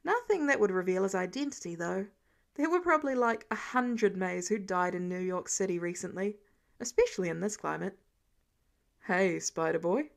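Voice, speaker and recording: A woman's voice: medium pitch, animated, brisk, vocal fry. A woman reading scripted fan fiction narration as an audiobook-style storyteller.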